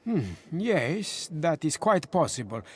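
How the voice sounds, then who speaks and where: An actor playing a pious, learned herbalist in a video game, explaining things in a deliberate, scholarly way. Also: male voice, a little high, very animated, breathy edge, heavily acted. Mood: scholarly, intrigued. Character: learned herbalist healer, pious, earnest and cautious.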